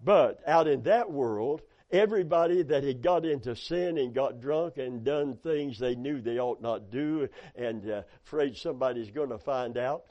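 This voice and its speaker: A hoarse man preaching a sermon, telling folksy stories and calling out amen and hallelujah. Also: mid-range voice, normal speed, few pauses. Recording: dull lo-fi sound.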